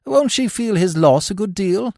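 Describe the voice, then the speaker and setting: A man doing a wry, idle young gentleman. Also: high voice, lively intonation, normal speed, gravelly, no echo, studio quality, voice pushed up. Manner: wry, languid.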